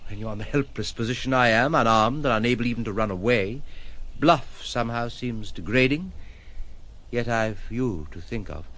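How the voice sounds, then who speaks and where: An actor playing a troubled, principled gentleman in an audio drama, articulate, formal and brooding. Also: mid-range voice, normal speed, lightly acted. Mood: uneasy, earnest. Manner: articulate, formal, theatrical phrasing.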